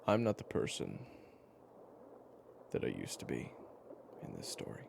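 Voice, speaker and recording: Man voicing a weary gentle storyteller, extremely low gravelly voice, swinging intonation, halting casual delivery, audio roleplay, noisy recording. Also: wildly swooping pitch, heavily acted. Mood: subdued, reflective. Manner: casual, halting, with sighs and pauses. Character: weary, gentle male storyteller with a regretted past. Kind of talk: intimate audio roleplay addressed to the listener.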